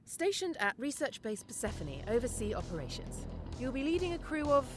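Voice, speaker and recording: A woman's voice: high-pitched, very animated, fairly quick, over music. A woman playing a formal, official mission briefer in an audio drama trailer.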